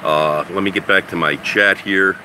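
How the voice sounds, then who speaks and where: Congested older New man, loud engine. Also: low-pitched, steady tone, moderate pace. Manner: rambling and chatty, with many "uh"s and restarts. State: congested from a head cold. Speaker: car maintenance livestream host. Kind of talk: casual livestream chat with viewers. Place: home garage or driveway beside a running car. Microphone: phone camera. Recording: loud engine noise behind.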